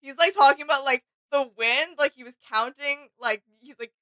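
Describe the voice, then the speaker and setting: A woman's voice: high voice, moderate pace, a bit bright. A woman co-hosting a reaction video in casual chat, agreeable and appreciative.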